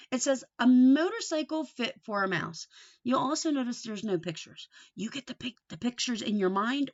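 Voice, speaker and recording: A woman teaching and reading aloud to young children, explaining things simply, asking them questions and reading with deliberate expression. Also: fairly high pitch. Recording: dull, lo-fi sound.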